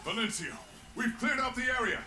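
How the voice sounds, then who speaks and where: An actor playing a tense, commanding fighter on guard in a dramatic fantasy video game. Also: male voice, very high-pitched, animated, brisk, bright, forceful tone, roomy sound, soft background music, exaggerated voice. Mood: alert, commanding.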